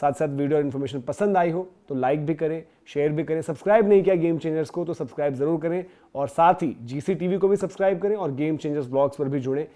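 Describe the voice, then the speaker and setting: A man's voice: on the high side, quick pace, few pauses, mellow. A man presents opinionated sports news commentary, speaking to viewers in an emphatic, conversational way with rhetorical questions.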